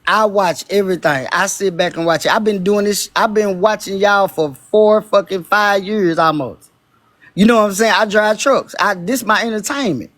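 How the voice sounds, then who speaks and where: A man with a naturally high-pitched voice talking as a guest on a live stream, emphatic and blunt, repeating his points and swearing freely. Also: moderate pace, fairly bright tone, no echo. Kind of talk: heated casual chat.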